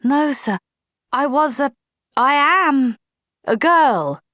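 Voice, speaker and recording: A woman's voice: high-pitched, expressive, takes it slowly, a bit bright, no echo, studio quality, voice pushed up, exaggerated voice. A woman voicing an earnest young woman soldier. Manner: firm, apologetic, dutiful.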